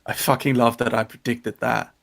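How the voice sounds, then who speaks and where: A man's voice: medium pitch, normal speed, vocal fry. A man livestreaming with a friend, telling jokey stories in casual, profane chat. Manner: animated, joking, profane storytelling. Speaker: guest livestreamer. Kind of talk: casual banter between friends.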